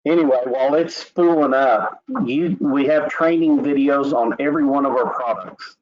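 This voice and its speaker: This is a man with an Oklahoma accent and an ordinary middle-pitched voice, leading a webinar walkthrough. He talks in a relaxed, rambling way with plenty of filler. The sound is dull and lo-fi, like a web call. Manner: relaxed, conversational, with filler "uh" and "anyway". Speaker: insurance company representative. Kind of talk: screen-share webinar walkthrough. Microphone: video call.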